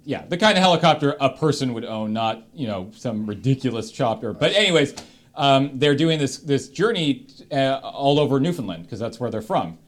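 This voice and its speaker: A man's voice: medium pitch, expressive, moderate pace. A man with a Canadian accent, casually and enthusiastically giving recommendations as a panelist on a livestream.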